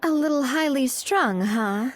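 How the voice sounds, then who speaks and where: A woman playing a warm, upbeat, gracious young investigator in an anime-style video game, with an expressive conversational delivery. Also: sits high, measured pace. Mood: wry.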